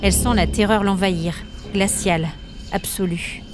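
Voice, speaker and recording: A woman narrating a scripted story podcast in a measured, suspenseful, dramatic way, with short weighted phrases. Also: medium pitch, fairly even intonation. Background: music.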